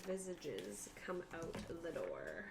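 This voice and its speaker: A woman running a tabletop game as game master, narrating and thinking aloud with hesitant pauses. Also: deep voice, wildly swooping pitch, creaky, background noise. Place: tabletop game session with other players.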